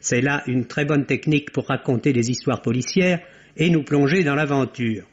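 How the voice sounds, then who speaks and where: A man giving a scripted film review on the radio as a critic, measured and articulate, on a vintage recording. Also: mid-range voice, muffled recording.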